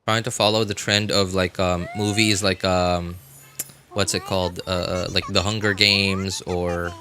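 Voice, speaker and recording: A man's voice: sits low, level tone, a little slow, sharp tone, slight background noise. A man chatting casually as a game livestreamer, hesitant and searching for words, often repeating himself. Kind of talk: casual rambling livestream chat.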